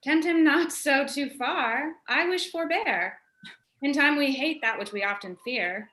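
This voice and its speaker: A woman's voice: high-pitched, unhurried, bright, forceful tone. A woman playing a pert female royal attendant in a Shakespearean radio play, recorded in an echoey room. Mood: cautioning. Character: Charmian, lively female attendant to a queen.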